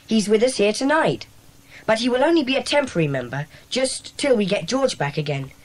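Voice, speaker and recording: A woman's voice: a little high, lively intonation, a little fast, low-quality recording. Woman as self-important schoolboy club leader. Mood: firm, resolute. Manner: decisive, firm, self-important. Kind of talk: dramatised children's audiobook acting.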